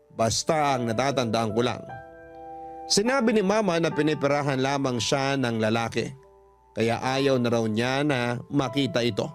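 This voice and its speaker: A man working as a radio storyteller, reading a personal letter aloud as earnest, polite dramatic narration. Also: mid-range voice. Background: background music.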